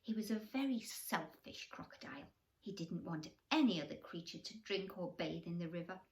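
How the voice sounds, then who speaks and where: A woman's voice: lowish voice, animated, normal speed, darkish tone. A woman storyteller reading a bedtime story expressively to children, with questions and asides to the young listeners.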